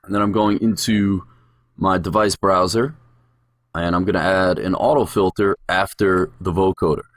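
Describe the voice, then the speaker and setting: A man narrating a software tutorial as an instructor, explaining things step by step. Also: low voice, steady tone, moderate pace, no room sound, clean studio sound. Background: music production sounds, drum hits.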